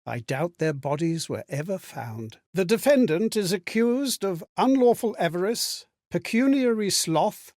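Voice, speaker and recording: A man voicing a courteous, melancholy lost spirit in a video game, reflecting on memories in a measured, theatrical way. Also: high voice, animated, darkish tone, dry recording, studio quality. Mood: weary, then stern, formal. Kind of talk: dramatic video game monologue.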